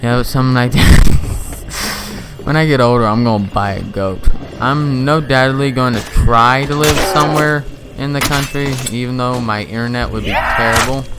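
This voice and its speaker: A man's voice: mid-range voice, moderate pace, noisy background. A young man in his teens rambling chattily while playing a video game at home at night, with jokey asides. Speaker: gaming video host. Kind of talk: casual gameplay commentary. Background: game sounds and music.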